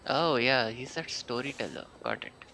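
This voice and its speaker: A man casually commentating on a video game live, thinking aloud in a halting way as he reads and reacts. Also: medium pitch, huge pitch swings, creaky, a little hiss or hum. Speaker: game streamer. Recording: game music behind the voice. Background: loud game music.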